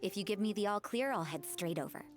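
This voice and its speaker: A woman's voice: mid-range voice, expressive, quick pace, lightly acted. A woman voicing a curt, irritable, businesslike accountant for a merchant crew in a video game.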